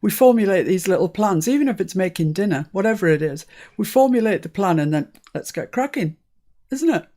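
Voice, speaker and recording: A woman's voice: medium pitch, lively intonation, brisk, dark tone. A woman born in Scotland hosting a live crafting stream, chatting casually and laughing often. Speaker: crafting channel host. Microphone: live-stream setup.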